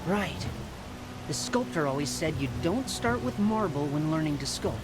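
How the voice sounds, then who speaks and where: A man acting an eager young Spartan brother, animated. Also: a little high, normal speed, very noisy, natural voice. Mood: thoughtful. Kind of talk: video game voice acting.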